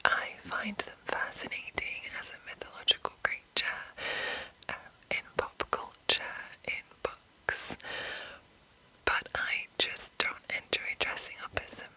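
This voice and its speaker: A woman host whispering in an unhurried, informal, rambling ASMR style as she gives an introduction. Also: bright, forceful tone, rough recording.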